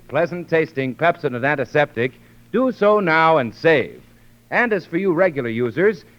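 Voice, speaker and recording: An American man delivering an emphatic 1930s radio advertisement as the show's announcer. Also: high voice. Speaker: radio announcer reading a sponsor ad. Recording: dull 1930s radio recording.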